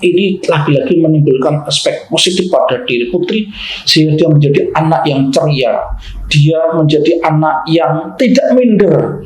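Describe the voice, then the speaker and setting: A man with a fairly high voice delivers opinion commentary like an explanatory lecture. He is emphatic and didactic, restating key phrases and asking rhetorical questions. The recording has a clearly roomy, echoing sound.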